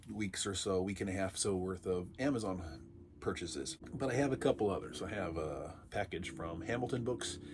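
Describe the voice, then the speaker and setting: A man with a low, gravelly voice, thick with congestion because he is under the weather. He rambles casually through an unboxing and drops dry little asides. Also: noisy background. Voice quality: raspy. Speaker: vlog host.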